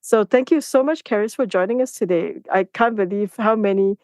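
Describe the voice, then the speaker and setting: A woman's voice: medium pitch, fairly quick, a little mellow, no room sound, clean studio sound. A woman hosting a podcast interview, reading a scripted introduction and then chatting with her guest. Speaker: podcast host.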